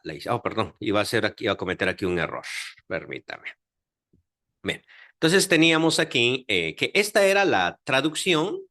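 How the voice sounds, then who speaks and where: A man with a Guatemalan accent lecturing a class on Bible history, talking in a lively way with many fillers and check-ins to his listeners. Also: medium pitch. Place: Dominican Republic, class audience.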